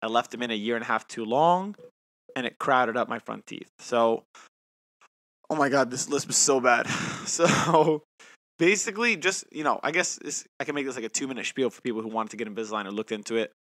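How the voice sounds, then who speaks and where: A man's voice: mid-range voice, fairly quick, fairly bright tone, dry recording. Young man talking with a lisp. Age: 20s. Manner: talks with a lisp from new aligner trays; chatty, self-mocking. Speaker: podcast co-host. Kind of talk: casual chat.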